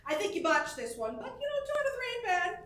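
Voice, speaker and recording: An actress playing a brassy, playful vaudeville star, trading good-humored wisecracks in a staged reading. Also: female voice, very high-pitched, very animated, fast talker, fairly bright tone, heavily acted. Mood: amused, breezy. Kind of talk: theatrical acting in a staged musical reading. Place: indoor staged reading, recorded from a distance. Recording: distant stage mic, roomy, faint noise.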